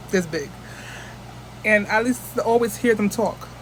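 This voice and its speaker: A woman's voice: mid-range voice, slow pace, a bit bright, a little hiss or hum, low-quality recording. A woman from the United States chatting casually into her phone camera as a vlogger, rambling and restarting often.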